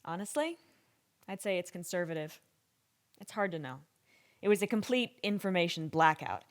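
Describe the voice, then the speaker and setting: A woman playing a wry, candid government scientist giving conversational interview testimony with deliberate pauses in an audio drama. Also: on the low side, expressive, lightly acted. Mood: earnest, frank.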